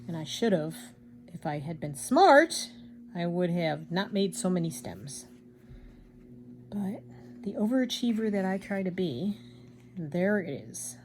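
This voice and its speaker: Older woman, craft vlogger, loud and rambling, lower pitch, faint room noise. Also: big pitch swings, measured pace, long pauses. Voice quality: loud, projecting voice. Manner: chatty, rambling, self-deprecating. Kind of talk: casual chat while embroidering.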